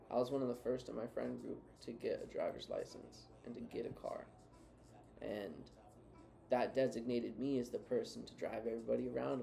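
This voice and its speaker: Earnest male co-host with a gravelly, warm voice; reflective, advising. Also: mid-range voice. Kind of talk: reflective casual talk.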